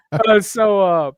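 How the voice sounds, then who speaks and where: Profane, joking Texan man, higher voice. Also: no echo, studio quality. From Texas, near the Mexican border. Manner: profane, joking, rambling storyteller. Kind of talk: casual podcast chat.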